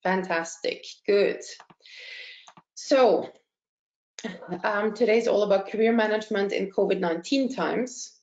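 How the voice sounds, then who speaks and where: A woman, presenting a webinar as a career consultant in a measured, explanatory way. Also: mid-range voice, a little mellow. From Austria. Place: indoor room, online call. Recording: dull, roomy online webinar audio.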